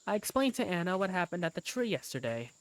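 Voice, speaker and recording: A woman's voice: fairly low pitch, sing-song, quick pace, dry recording. A woman reading scripted first-person story narration for a fan-dubbed game.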